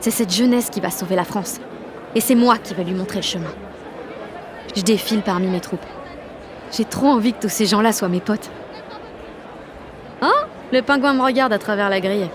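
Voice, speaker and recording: A woman's voice: mid-range voice, normal speed, pauses often, crowd noise, background noise, lightly acted. A woman playing a cocky, exalted sixteen-year-old girl activist, narrating an audio drama in brash, confiding slang. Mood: elated. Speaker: lead actor and narrator.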